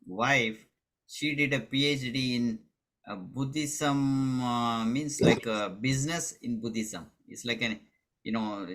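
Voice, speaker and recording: Bright-voiced man hosting a meeting. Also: mid-range voice, rough recording. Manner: halting, many fillers and restarts. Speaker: community meeting host. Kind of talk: casual meeting talk.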